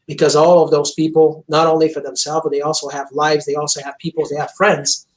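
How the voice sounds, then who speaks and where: Earnest man, flat delivery. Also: fairly high pitch, brisk. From Montreal, Canada. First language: French. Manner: earnest, intense, many "you know" fillers. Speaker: chiropractor guest. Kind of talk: interview over video call.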